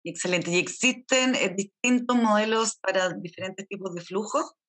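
A woman's voice: mid-range voice, moderate pace, a bit bright. Woman hosting a podcast, medium voice, friendly, a few ehs here and there. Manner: friendly, some filler hesitations. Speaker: podcast host. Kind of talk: podcast interview.

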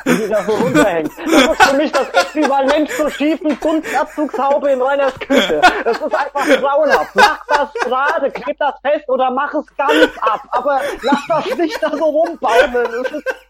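A man's voice: extremely high pitch, normal speed, a loud crowd. A man chatting casually in a blunt, playfully exaggerating way. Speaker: podcast participant. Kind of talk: casual chat.

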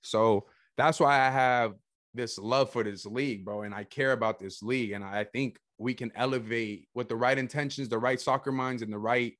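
A young man from El Salvador hosting a casual podcast chat, energetic and saying "bro" a lot. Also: mid-range voice, moderate pace, dry recording, studio quality. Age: young adult. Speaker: podcast host.

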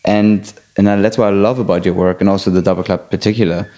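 A man born in Germany, chatting casually and enthusiastically and giving quick affirmations. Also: low voice, steady tone, dark tone. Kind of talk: casual conversation.

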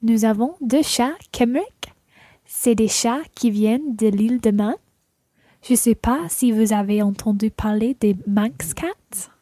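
Casting: actress as friendly woman cat owner in a learning radio play, soft-toned, slightly high, dry studio sound. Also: a little slow, no echo. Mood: chatty. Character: Christina, friendly cat owner chatting over dinner. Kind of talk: scripted language-lesson radio play.

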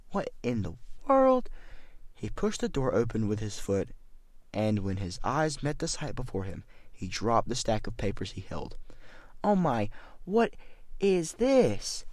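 A man's voice: on the low side, no room sound. A man narrating a scripted mystery story as its reader.

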